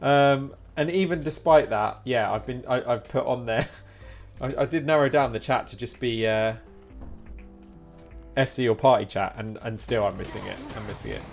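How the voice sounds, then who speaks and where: A man's voice: mid-range voice, moderate pace, gravelly. A man streaming a game, chatting informally with rambling explanations. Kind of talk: casual live-stream chat while gaming. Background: game music.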